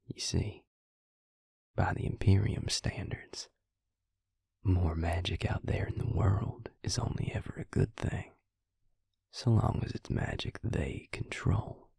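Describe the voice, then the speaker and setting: A man playing a devious, smug male vampire in an intimate audio roleplay, taunting his victim slowly in a breathy, gravelly whisper. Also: low voice, fairly even intonation, mellow, dry recording, studio quality, heavily acted. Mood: smug, explaining. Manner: slow, taunting, self-satisfied.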